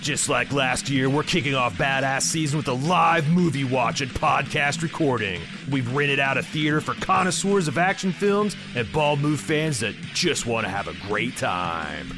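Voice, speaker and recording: A man of middling pitch reads a scripted event promo as a podcast host. Plucked guitar music plays clearly behind his voice. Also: normal speed, few pauses.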